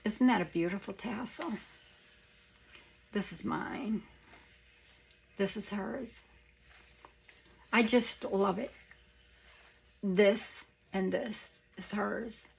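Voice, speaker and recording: Hoarse, slow-talking woman gushing softly. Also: medium pitch. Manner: soft-spoken, gushing, often drops to a whisper. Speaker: craft vlogger. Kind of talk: casual show-and-tell.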